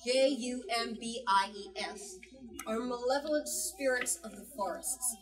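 Expressive young woman reading aloud, slightly distant. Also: a little high, a little slow, a crowd in the background. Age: young adult. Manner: expressive, emphatic. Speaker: book talk leader. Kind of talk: storytelling and read-aloud to children. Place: small bookstore, indoors. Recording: distant from the microphone.

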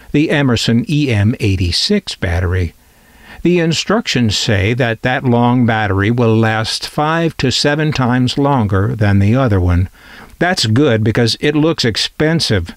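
A man's voice: fairly low pitch, dry recording. A man presenting a collector's item in informal scripted narration, with a wry, dryly humorous manner. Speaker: presenter of a vintage radio collecting channel.

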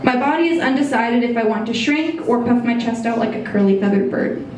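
A young woman reading her personal essay aloud into a stand mic at a small indoor venue, in a measured, reflective way. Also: on the high side, darkish tone, distant mic, a little hiss or hum. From United States. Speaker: essay reader. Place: small brick-walled bar venue, indoors. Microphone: stage mic on a stand. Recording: very echoey room, voice a bit off-mic.

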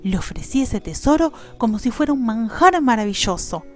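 Mujer argentina narrando un cuento infantil, muy expresiva. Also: female voice, a little high, expressive, normal speed, faint music behind. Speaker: children's storyteller. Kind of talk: expressive scripted storytelling for children.

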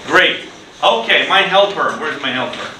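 Middle-aged male teacher, slightly high voice, slow pace, instructional, clearly enunciated. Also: lively intonation, noisy background. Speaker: ESL teacher and video host. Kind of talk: presenting to camera and leading a children's class.

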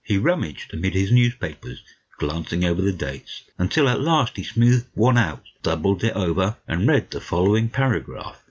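Guy reading as a detective's gentleman companion, measured audiobook narration, husky low voice, slightly dull recording. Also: very animated, exaggerated voice. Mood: measured storytelling. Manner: measured, literary storytelling.

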